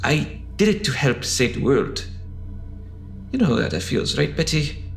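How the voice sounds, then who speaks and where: A man's voice: fairly high pitch, sing-song, a little slow, creaky, faint music behind, exaggerated voice. A man playing an anxious, defensive scientist in an audio drama, hesitant and stammering as he pleads. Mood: pleading, defensive. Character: anxious, defensive male scientist pleading his good intentions.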